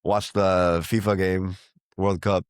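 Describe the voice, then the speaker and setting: Very deep-voiced man, Venezuelan-tinged accent, dry sound. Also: level tone, takes it slowly, clear, resonant tone, no echo. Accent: Venezuelan Spanish-tinged American English. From United States. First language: Spanish. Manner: rambling storyteller, many restarts and quoted dialogue. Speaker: podcast guest. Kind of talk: casual conversation.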